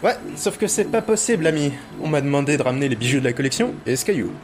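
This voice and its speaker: A man's voice: a little high, expressive, quick pace, soft background music, close to an everyday voice. A young man playing a cheeky, boastful teenage thief in an audio drama, slangy and dramatic. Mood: annoyed, evasive.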